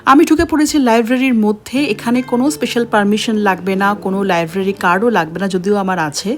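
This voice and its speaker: A woman's voice: mid-range voice, fairly even intonation, fast. A woman with an Indian Bengali accent, narrating a vlog as if talking straight to her viewers. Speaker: travel vlogger. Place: outdoors in a city square and voice-over.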